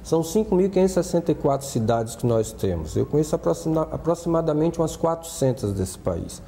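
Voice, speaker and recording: A man's voice: on the high side, sing-song, fairly quick, dark tone, long reverb tail, a little hiss or hum. A middle-aged Brazilian man from Salvador, a TV studio guest, talking earnestly with frequent restarts. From Brazil, born in Salvador. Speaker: studio guest, former student union adviser. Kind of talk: TV interview talk.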